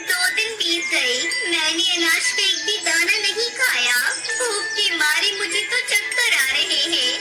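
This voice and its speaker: Woman voicing tiny mother bird, very high-pitched. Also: expressive, unhurried, hardly any pauses, over loud music, rough recording. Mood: worried. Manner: plaintive, fretful. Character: anxious, trusting mother bird, small and naive. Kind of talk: Hindi cartoon moral story acting.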